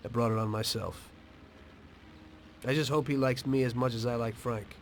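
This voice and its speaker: A man's voice: mid-range voice, fairly even intonation, moderate pace, a little hiss or hum, close to an everyday voice. Man playing a wry, plain-spoken American mobster. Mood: wry, reflective. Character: weary streetwise American mobster, former taxi driver, conscientious. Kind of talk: dramatic video game dialogue and confessional narration.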